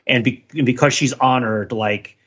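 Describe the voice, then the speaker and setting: A man with a bright, forceful voice talks through ideas as a podcast co-host. He is casual but analytical, wandering into asides and hedges as he goes. The audio is slightly dull and band-limited. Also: mid-range voice, moderate pace. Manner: discursive, thinking aloud, hedging with many asides. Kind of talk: casual panel discussion, analytical.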